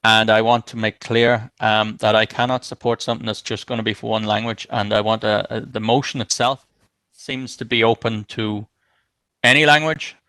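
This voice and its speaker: A man councillor speaks in a council debate. He hesitates and restarts as he thinks aloud. His voice is bright and crisp, and it sounds dry and close. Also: fairly low pitch, fairly even intonation, moderate pace, no room sound.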